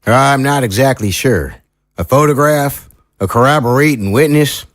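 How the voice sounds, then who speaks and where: An actor playing an earnest, troubled small-town sheriff in an audio drama, speaking in a folksy, sincere, measured way. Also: male voice, medium pitch, no room sound, studio quality, close to an everyday voice. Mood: grave, candid.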